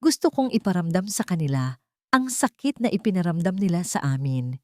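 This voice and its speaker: Lady reading as a brooding guy in a romance story, intense, lowish voice, close and dry. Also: very animated, brisk, warm tone, dry recording. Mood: bitter. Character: brooding, bitter man hungry for justice and revenge. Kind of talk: dramatic storybook narration.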